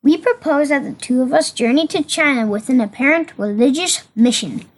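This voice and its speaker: A woman's voice: high voice, normal speed. A woman playing an earnest, respectful male monk in an amateur audio play, speaking formally.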